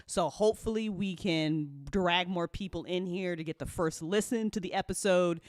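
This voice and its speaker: A woman hosting a live-stream listen party, chatting casually and excitably with her audience, giggly and quick to swear. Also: lowish voice, no echo, studio quality. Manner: hype-building, talks directly to chat. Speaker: host of a podcast listen party.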